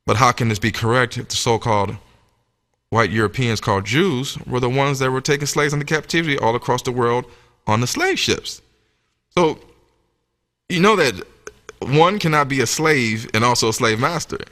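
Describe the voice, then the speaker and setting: A man's voice: mid-range voice, moderate pace, pushed and bright. A man giving a sermon-like religious lecture, speaking in an emphatic, teacherly way. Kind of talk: sermon-like lecture with scripture reading. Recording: echoey hall with long reverb.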